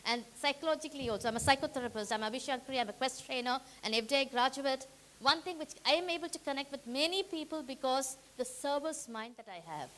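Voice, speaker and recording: Woman trainer, high, crisp voice, energetic and audience-rallying. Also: fast talker. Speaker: workshop trainer. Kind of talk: interactive training session. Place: meeting hall with a live audience.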